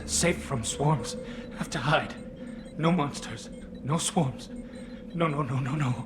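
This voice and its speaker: An actor playing a traumatized survivor who mutters in halting, repetitive broken phrases. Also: male voice, on the high side. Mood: frightened. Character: terrified man babbling in fragments, traumatized survivor. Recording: game audio with clear background noise.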